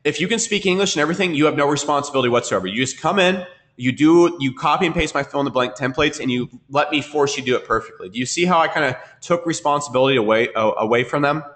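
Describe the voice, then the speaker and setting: Fast-talking man teaching a webinar. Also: fairly high pitch, fairly bright tone. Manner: fast, repetitive, keeps asking "okay?". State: congested from a cold, sniffling. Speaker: marketing trainer.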